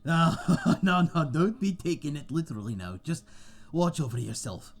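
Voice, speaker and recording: A man playing a friendly tavern owner and former sailor, husky and chatty, telling tales in a folksy seafaring dialect in a fantasy audio roleplay. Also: on the high side, big pitch swings, moderate pace, faint music behind. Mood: caring, playful. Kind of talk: ASMR roleplay monologue in a fantasy setting.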